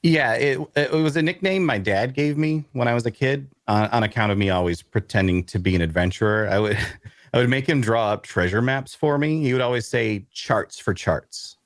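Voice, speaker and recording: An actor playing an awkward, bookish loner adventurer who narrates an audio drama in a wry way. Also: male voice, medium pitch, normal speed, dry recording, clean studio sound, natural voice. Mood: awkward, polite. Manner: wry, hesitant in conversation. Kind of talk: first-person narration and dialogue in audio drama.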